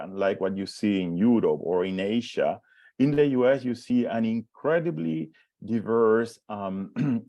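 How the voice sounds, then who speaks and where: Chilean man in his 40s, lowish voice, halting conference speaker, dry close sound. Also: dark tone, dry recording. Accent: Chilean Spanish. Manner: hesitant, many restarts and fillers, self-described shy. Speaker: professor giving a conference talk. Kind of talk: conference presentation.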